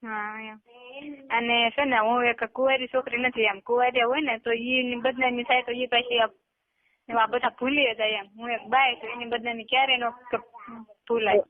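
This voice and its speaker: A woman's voice: fairly high pitch, level tone, normal speed. A woman with a Gujarati accent in a casual, private phone conversation, talking colloquially. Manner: colloquial, brief backchannels. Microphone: telephone line. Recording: tinny narrowband phone call recording.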